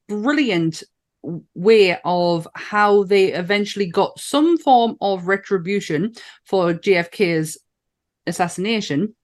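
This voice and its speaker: Northern English woman chatting. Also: mid-range voice. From northern England. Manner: enthusiastic, swears casually, laughs often. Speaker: podcast co-host. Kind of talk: casual chat.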